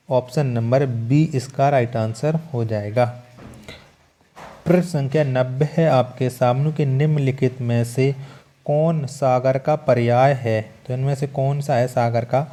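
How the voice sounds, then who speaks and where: A man's voice: medium pitch, mellow. A man teaching an exam-prep lesson, reading out questions and answers and repeating key points for emphasis in an instructional way.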